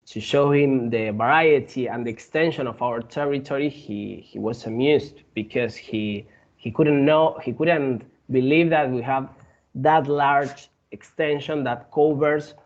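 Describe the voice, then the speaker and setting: A man from Argentina with an Argentine Spanish accent, a guest in a casual interview who speaks haltingly, with many fillers and restarts. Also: mid-range voice, muffled recording.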